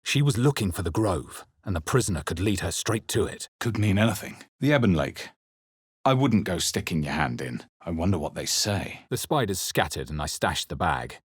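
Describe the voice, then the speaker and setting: A man playing a wary, resolute fantasy adventurer in video game voice lines, with a measured, theatrical delivery. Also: low voice, lively intonation, breathy edge, no room sound, studio quality. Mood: wary, musing. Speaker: player character voice. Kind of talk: scripted video game voice lines, dramatic narration.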